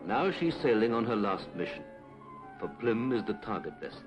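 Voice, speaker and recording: Male British narrator, lowish pitch, formal and measured, 1950s government documentary read with prominent music underneath. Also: sing-song, poor audio. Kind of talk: 1950s British public information film narration, scripted. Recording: 1950s film soundtrack with music.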